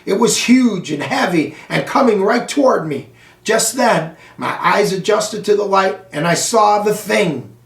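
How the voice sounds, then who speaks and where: A man narrates a children's story in a very animated voice that rises and drops for effect. He leans on action words theatrically. The recording is roomy and echoing, picked up some way from the microphone. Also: high voice, measured pace, creaky. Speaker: school superintendent reading aloud to pupils. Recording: roomy echo, distant microphone.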